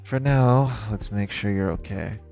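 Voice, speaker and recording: A man's voice: expressive, a little slow, over music. A man playing a teasing, flirtatious vampire in an intimate audio roleplay, smug yet protective. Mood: teasing, caring. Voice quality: low, gravelly voice.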